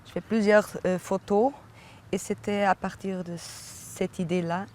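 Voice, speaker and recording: A visiting artist from Portugal with a Portuguese accent, describing their work in a second language and pausing often to search for words. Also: mid-range voice, flat delivery, a little mellow, slight background noise.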